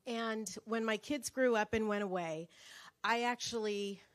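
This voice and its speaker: A middle-aged woman sharing a personal story at a book club, speaking in a reflective, halting way on a handheld mic. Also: fairly high pitch, steady tone.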